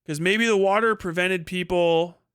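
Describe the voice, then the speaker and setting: A man's voice: high-pitched, fairly even intonation, normal speed, fairly bright tone, smooth, no room sound, studio quality. A man giving a casual, ranting review monologue as a livestream host, blunt, profane and exasperated, repeating points for emphasis.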